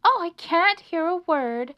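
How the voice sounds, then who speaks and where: A woman voicing a grand, excitable, bossy female city mouse in a children's storybook, full of exclamations. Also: high-pitched, measured pace, dull audio, voice pushed up. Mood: giddy, shouting.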